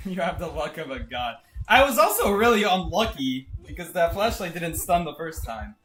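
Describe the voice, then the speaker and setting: A young man commentating his own gameplay live, excitable and laughing often, going from loud disbelief to low mutters. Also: normal speed, slight background noise, poor audio. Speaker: game streamer.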